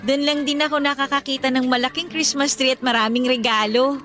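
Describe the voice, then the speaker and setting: A woman's voice: high-pitched, steady tone, brisk, a bit bright, soft background music. A woman playing a friendly, teasing young working mother in conversational radio drama dialogue. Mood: reflective.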